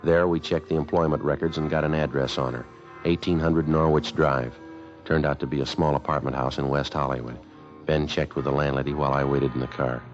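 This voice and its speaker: A very deep-voiced American police sergeant, tells his case in terse, flat, matter-of-fact sentences. It is 1950s radio drama, with a dull vintage sound and faint music behind. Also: a little fast. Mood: deadpan. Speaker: lead actor and narrator of a police radio drama. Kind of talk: 1950s radio drama acting, clipped scripted narration.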